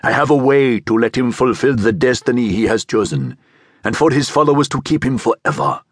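A man voicing a strong older man who speaks deliberately and persuasively, with a guttural Egyptian accent, in a narrated story. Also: mid-range voice, animated, dry recording, clean studio sound, natural voice. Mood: urgent, persuasive. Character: Nahor, strong, commanding older man with magnetic menace.

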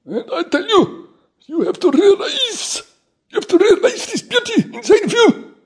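A man playing an over-excited preacher in a comic radio play, effusive and repetitive, swinging between solemn and giddy. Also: very high voice, a little slow, heavily acted. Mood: giddy, tearful joy. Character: over-excited preacher gushing about inner beauty and joy. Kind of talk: parody sermon in a comic short radio play.

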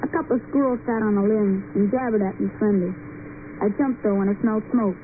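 Radio drama role: performer as a wary, stubborn country boy, homespun and plain, heard through a thin, clearly noisy old recording. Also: medium pitch, steady tone, fairly quick, phone-quality sound, natural voice. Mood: matter-of-fact narrating. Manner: plain, folksy, unschooled speech. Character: plain-spoken backwoods boy, young, wary but stubborn. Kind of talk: old-time radio drama acting and first-person narration.

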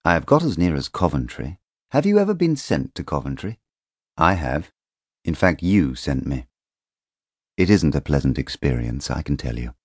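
Man narrating as a low-voiced, sinister letter writer. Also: big pitch swings, husky, no room sound, studio quality, full character voice. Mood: quietly menacing. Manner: measured, insinuating, mock-courteous. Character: sinister male postcard writer, politely taunting, faintly menacing.

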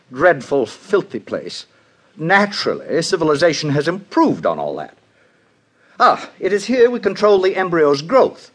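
An actor playing a pompous, grandiose director of a futuristic hatchery, lecturing in an oily radio drama manner. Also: male voice, fairly high pitch, fairly bright tone. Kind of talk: 1950s radio drama acting.

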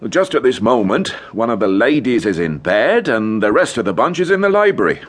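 An actor playing a chatty, deferential village police sergeant in a radio detective drama. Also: male voice, mid-range voice, big pitch swings, a little fast. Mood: helpful, amused. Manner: chatty, deferential, full of sirs.

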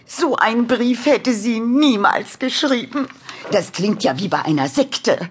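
Worried elderly lady of horror radio drama, deliberate, halting delivery, raised register. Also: fairly high pitch, expressive, a little hiss or hum. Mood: worried, troubled. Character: worried elderly English lady, troubled and insistent. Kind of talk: horror audio drama acting.

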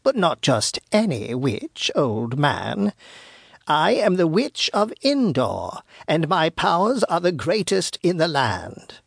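A man voicing a wicked, boastful old witch in a storybook, with a craggy voice and slow, threatening delivery. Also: a little high, huge pitch swings, gravelly, husky, no echo, studio quality, pitched above the performer's own voice, exaggerated voice. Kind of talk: storybook narration character voice.

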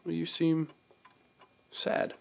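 An actor playing a loyal, firm cavalry captain with formal, measured speech in a radio drama. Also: male voice, a little high, completely flat delivery, vocal fry, full character voice. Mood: gently familiar. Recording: noisy sound-effect background.

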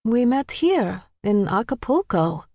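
A guarded woman detective from Mexico, voiced by a female narrator, keeping up a bright, polite front. Her pitch sits raised and her manner is evasive, as dialogue in a dry audiobook recording. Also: expressive, slow pace, no echo.

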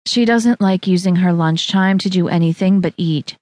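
A woman voicing a blunt, quick-tempered young bride who speaks curtly and snappishly. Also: on the low side, a little mellow, dry recording, pitched below the performer's own voice, natural voice. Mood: hurried. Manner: curt, snappish.

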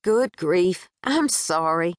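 A woman's voice: on the low side, lively intonation, slow, no room sound, studio quality. A woman voicing a brash, friendly young Southern woman with a Tennessee drawl, breezy and folksy.

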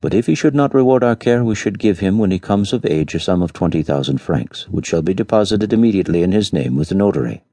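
A man voicing a grave, deliberate gentleman making a formal offer, in a story reading. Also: deep voice, animated, breathy edge, no echo, voice pushed up, exaggerated voice. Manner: measured, formal.

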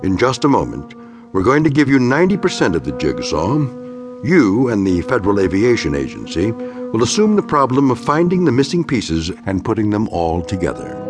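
An American man performing as the formal, wry, deliberate narrator of an uncanny radio drama. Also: lowish voice, huge pitch swings, over music. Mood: wry, mysterious. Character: measured, enigmatic male host narrator of uncanny tales.

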